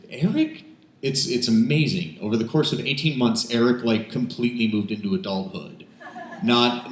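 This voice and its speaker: Low, unhurried male poet in a roomy cafe. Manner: self-deprecating, rambling asides, emphatic when reciting. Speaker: poet performing at an open mic. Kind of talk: spoken word poetry reading with casual banter between pieces. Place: indoors, small cafe open mic. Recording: roomy live cafe recording with background noise.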